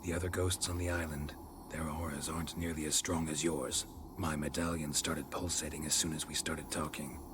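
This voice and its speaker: An actor playing a stoic, suspicious, world-weary monster hunter in a video game, gravelly and terse, with deadpan delivery. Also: male voice, moderate pace, noisy background. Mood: firm, reasoning. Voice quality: gravelly, low. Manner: terse, deadpan, clipped sentences. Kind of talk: dramatic video game acting.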